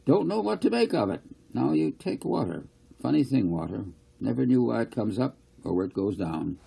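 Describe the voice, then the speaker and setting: Storybook farmer, acted by a man: older, plain-spoken, curious, husky and mellow, with rustic turns of phrase. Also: medium pitch, sing-song, normal speed, full character voice. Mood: folksy, musing. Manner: folksy dialect, musing.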